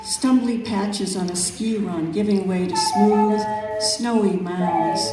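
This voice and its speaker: Slow-speaking woman poet in an echoey room. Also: medium pitch, fairly even intonation. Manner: measured, deliberate phrasing with pauses. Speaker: poet reading own work. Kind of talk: poetry reading at a live event, with brief spoken introductions. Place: echoey room at an arts event. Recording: very echoey room, distant mic, music.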